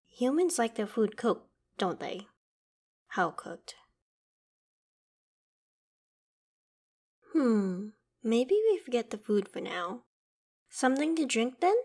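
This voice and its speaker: A woman playing an ancient, haughty dragon in a theatrical ASMR roleplay, with deliberate, imperious phrasing. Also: medium pitch. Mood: excited, flustered. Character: ancient female dragon, haughty, menacing, curious about humans. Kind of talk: ASMR roleplay, dramatic monologue to the listener.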